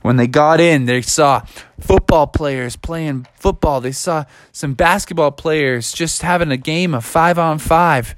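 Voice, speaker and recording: A man's voice: fairly high pitch, unhurried, no echo, clean studio sound. A man improvising a playful story for a child, adding sound effects as he goes.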